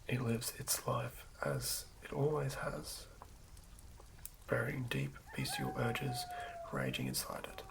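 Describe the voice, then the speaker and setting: A man doing a soothing ASMR reading of fantasy game lore in a hushed, breathy whisper, occasionally stumbling over words. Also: mid-range voice, huge pitch swings, slow pace. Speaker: ASMR host. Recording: lo-fi recording with clear background noise.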